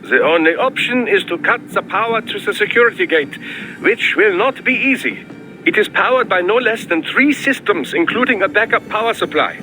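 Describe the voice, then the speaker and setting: An actor playing an eager, fussy scientist with a German accent, giving precise, theatrical mission advice in a video game. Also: male voice, high-pitched, lively intonation, moderate pace, sharp tone, slight background noise, poor audio. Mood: explanatory. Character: excitable, fussy European male scientist mission adviser. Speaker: mission support over radio.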